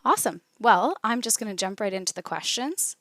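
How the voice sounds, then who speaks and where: A woman's voice: medium pitch, expressive, a little fast, a bit bright. A woman hosting an interview, giving scripted introductions and asking questions. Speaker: interview host.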